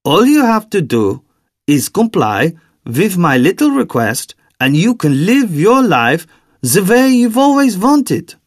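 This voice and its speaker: Man voicing a sly, high-pitched German villain. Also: big pitch swings, no echo, studio quality, full character voice. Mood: grandiose, enticing. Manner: coaxing, theatrical, deliberate. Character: tall, sly villainous man, calm, persuasive, sinisterly courteous.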